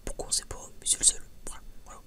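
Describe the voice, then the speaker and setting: An ASMR creator whispering in a breathy, unvoiced voice, rambling informally and hesitantly as if chatting to viewers. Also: fast talker.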